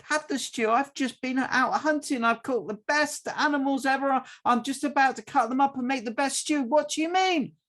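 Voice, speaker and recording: A man voicing a big, brash young hunter in a children's Bible story, shouting and boastful. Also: very high voice, moderate pace, hardly any pauses, voice pushed up. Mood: indignant.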